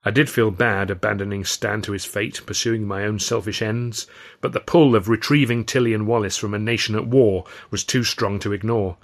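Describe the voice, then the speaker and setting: Low-pitched man narrating a story. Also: steady tone, normal speed. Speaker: author narrating his own novel. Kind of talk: scripted audiobook narration with acted dialogue.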